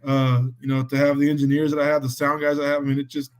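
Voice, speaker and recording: A man's voice: fairly high pitch, completely flat delivery, fast talker. A man being interviewed as a musician guest, speaking humbly and stammering, repeating words as he goes. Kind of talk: casual interview chat.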